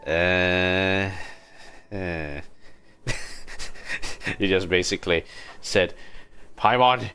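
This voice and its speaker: A man livestreaming a game in a low-key, musing way, commenting casually and reading text aloud. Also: drawn-out words, pauses often, pushed and bright, smooth.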